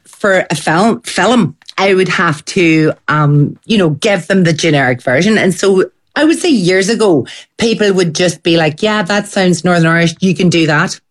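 A woman with a fairly low voice talks about her work as an accent coach. She rambles along, full of fillers and asides. The tone stays casual and conversational. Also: lively intonation, moderate pace. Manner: chatty, rambling, lots of fillers.

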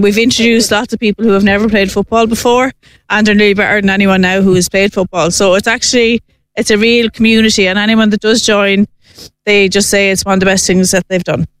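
A woman's voice: mid-range voice, no room sound. A woman with an Irish accent, a longtime team player, speaking in a cheerful, easygoing way. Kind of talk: casual interview.

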